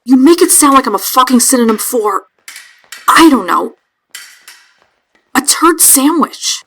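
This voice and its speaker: Woman acting a high-voiced, sarcastic young princess. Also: animated, unhurried, fairly bright tone, exaggerated voice. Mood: annoyed. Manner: sarcastic, profane. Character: sharp-tongued, foul-mouthed young princess learning to fence. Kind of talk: comic dub acting.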